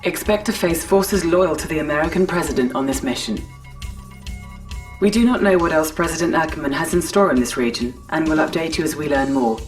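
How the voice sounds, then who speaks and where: An actress playing a composed military announcer, delivering formal, clipped mission updates in a video game. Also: female voice, deep voice, a little fast, lightly acted. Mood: serious. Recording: slightly distant, music behind.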